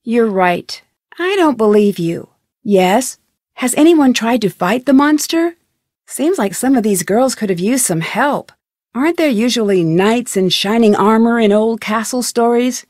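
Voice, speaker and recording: A young female detective in a video game. Her voice sits a little high and sounds close and dry. She is inquisitive and polite, always gently probing. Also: animated, a little slow, no echo. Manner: inquisitive, polite, clearly enunciated. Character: curious, polite young woman amateur detective. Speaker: game protagonist. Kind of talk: scripted video game dialogue.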